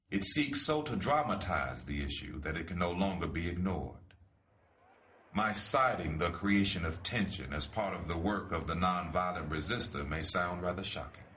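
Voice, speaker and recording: A man reads a written letter aloud like a solemn speech, with deliberate and emphatic phrasing. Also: low voice, bright, forceful tone, slight background noise. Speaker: reader of a letter. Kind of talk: solemn oratorical reading.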